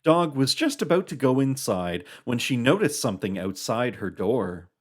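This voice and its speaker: A storyteller narrates a children's puppet show. The reading is scripted and expressive, with a lively, varied melody in the voice. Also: mid-range voice, moderate pace.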